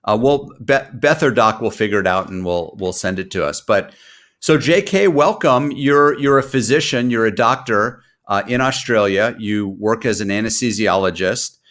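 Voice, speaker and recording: A man hosting an interview show in a relaxed, rambling way, openly bad at remembering names. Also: fairly low pitch, normal speed. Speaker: podcast host. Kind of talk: casual chat.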